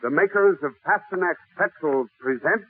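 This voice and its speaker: Male actor as grandiose parody sponsor announcer, 1940s radio, band-limited sound, loud music bed. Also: high voice, narrow, tinny audio, lightly acted. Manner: grandiose, drawn-out titles.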